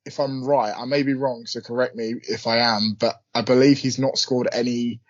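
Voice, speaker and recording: A man's voice: mid-range voice, fairly even intonation, moderate pace, dry recording. A man giving casual football analysis on a podcast, hesitant with many ums, in a glum mood, over a call. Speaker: podcast co-host. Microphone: remote call microphone. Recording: muffled dry call audio.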